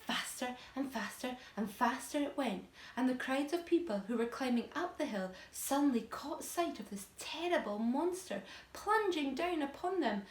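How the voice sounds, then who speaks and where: Higher-voiced woman reading a children's story, echoey. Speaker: teacher reading a story aloud to pupils. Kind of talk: expressive children's storybook narration.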